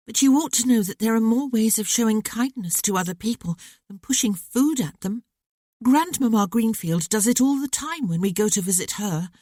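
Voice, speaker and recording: Lady putting on a chatty earnest young woman, higher voice, mellow and dry. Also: no echo. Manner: lively, talkative, candid. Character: outspoken young woman, sensitive, earnest sister.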